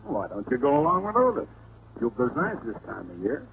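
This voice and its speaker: An American actor playing an exasperated, sarcastic police lieutenant in an old radio drama. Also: male voice, mid-range voice, huge pitch swings, brisk, vocal fry. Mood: businesslike, dry. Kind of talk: 1940s-50s radio drama acting. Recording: dull, hissy vintage radio recording.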